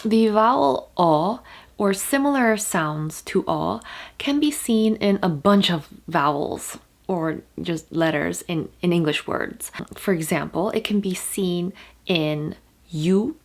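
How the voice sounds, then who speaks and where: A young woman whose first language is Korean teaches pronunciation to the camera in a casual, chatty way. She explains patiently, pausing on fillers and restarting her sentences. Now and then she holds a vowel out long to demonstrate it. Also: on the low side, animated, vocal fry. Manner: draws out vowel sounds as demonstrations.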